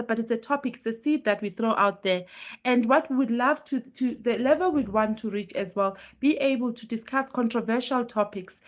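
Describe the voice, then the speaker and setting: A woman hosting a casual motivational live stream, her voice hoarse and strained from illness as she pushes it, chatting earnestly and greeting viewers by name. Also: fairly high pitch. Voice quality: normally very loud voice, pushing it now.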